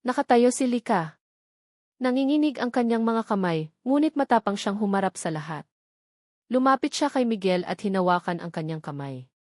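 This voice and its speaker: Female storyteller for scripted romantic drama narration, medium pitch, very dry close sound. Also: expressive, normal speed, a little mellow, no room sound.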